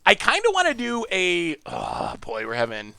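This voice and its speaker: A man from upstate New York, hosting a live game stream and chatting with viewers in an excitable, laughing way. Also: sits high, moderate pace, gravelly, no room sound, studio quality. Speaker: streamer host.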